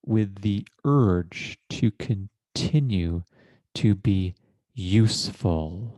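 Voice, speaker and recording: Very slow, low-voiced man guiding meditation. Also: warm tone, no room sound. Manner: measured, deliberate, with long pauses between short phrases; formal, literary phrasing. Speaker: guided meditation teacher. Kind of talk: guided meditation, slow contemplative narration.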